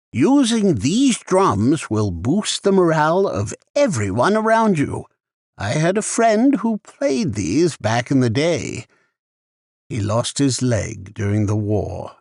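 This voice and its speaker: An actor voicing a chatty, wry male shopkeeper in a video game, with a theatrical, deliberate sales patter. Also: medium pitch, breathy edge, no room sound, studio quality, heavily acted. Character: chatty male shopkeeper, wry, easily annoyed arms trader. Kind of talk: scripted video game character lines.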